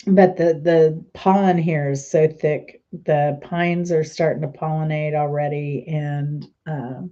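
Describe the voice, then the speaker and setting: A woman's voice: low-pitched, fairly even intonation, unhurried, warm tone, highs cut off. A woman host chatting casually and rambling, trailing off mid-sentence, sounding stuffy and congested. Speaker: channel host. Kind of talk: casual rambling chat to camera.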